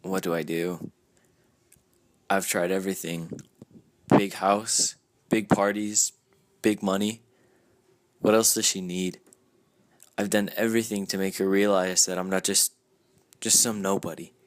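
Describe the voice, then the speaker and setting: A man's voice: deep voice, little pitch movement, measured pace, pauses often, no room sound. A young man reading as a brooding lovesick rich man. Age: young adult. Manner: hushed, brooding journal monologue.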